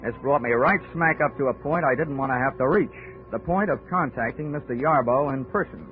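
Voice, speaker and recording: An actor with an American accent playing a wry, hard-boiled investigator in a 1940s radio drama, dry and wisecracking. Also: male voice, medium pitch, fairly quick, natural voice. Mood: dry narration. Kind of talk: 1940s radio acting, noir narration. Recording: tinny 1940s radio recording, music behind.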